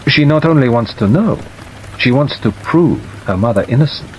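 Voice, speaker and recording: A courteous male detective, voiced by a male narrator, questions with polite persistence in a mystery audiobook. His pitch is medium and moves freely. Soft rain sounds behind him. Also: moderate pace, highs cut off, a little hiss or hum, lightly acted. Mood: probing. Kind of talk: audiobook character dialogue. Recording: steady rain sound over the reading.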